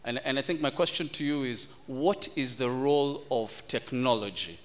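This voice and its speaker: A man moderating a formal panel discussion, posing measured questions and slipping into Swahili. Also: mid-range voice, a bit bright.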